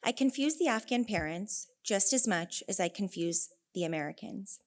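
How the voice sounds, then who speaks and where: A woman's voice: mid-range voice, lively intonation, moderate pace. Earnest young Californian woman giving a talk. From Northern California. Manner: earnest storytelling with asides. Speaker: conference speaker, elementary teacher. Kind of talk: prepared speech.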